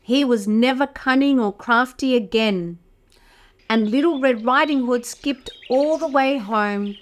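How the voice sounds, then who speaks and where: A woman with a fairly high voice reads a children's story aloud. She takes it very slowly and expressively, addressing her young listeners directly as a storyteller would. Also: a little hiss or hum. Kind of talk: read-aloud picture book narration for toddlers.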